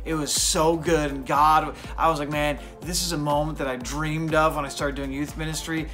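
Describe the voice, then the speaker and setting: A man of forty, a youth pastor, narrating his day in a relaxed, chatty, self-deprecating way. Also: on the high side, normal speed, faint music behind. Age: 40s. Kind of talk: casual vlog narration.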